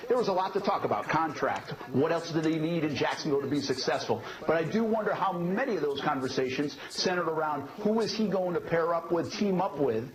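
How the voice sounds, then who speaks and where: A man delivers live sports commentary in a somewhat high-pitched voice. His delivery is emphatic yet conversational, as a TV reporter on breaking news. Clear control-room chatter runs behind him. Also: low-quality recording.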